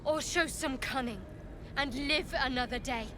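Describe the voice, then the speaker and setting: A woman playing a proud, hardened young priestess of a dark goddess in a fantasy game, solemn, deliberate and haughty. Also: high-pitched, noisy background, natural voice. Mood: cool challenge. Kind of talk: dramatic fantasy game acting.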